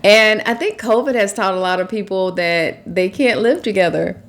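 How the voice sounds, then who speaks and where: A woman's voice: medium pitch, moderate pace. A woman in her forties, a relationship coach co-hosting, talking casually with a stuffy, nasal voice from allergies. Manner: chatty, laughs easily. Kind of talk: casual conversational chat.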